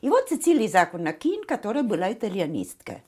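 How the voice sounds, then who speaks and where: A woman's voice: medium pitch, wildly swooping pitch, quick pace, a little mellow, husky. A woman in her seventies with an Italian accent, who grew up speaking Italian, tells her memories as an interview guest, laughing often and acting out the voices of people she quotes. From Italy. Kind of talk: reminiscing conversation.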